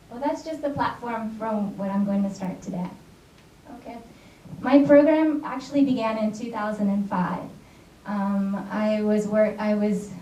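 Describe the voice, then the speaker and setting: A woman's voice: medium pitch, warm tone. An American woman, a secondary school teacher, gives a chatty conference talk and keeps checking in with her listeners with "all right?" and "right?". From United States. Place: conference hall. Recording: echoey, dull audio captured from far off in a hall.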